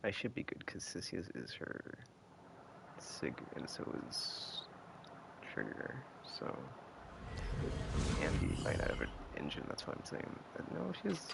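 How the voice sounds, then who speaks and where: Very deep, creaky male game streamer. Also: wildly swooping pitch, unhurried, pauses often, heavy background noise. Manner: rambling, thinking aloud. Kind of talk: casual gameplay commentary. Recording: game music under the voice. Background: loud video game music.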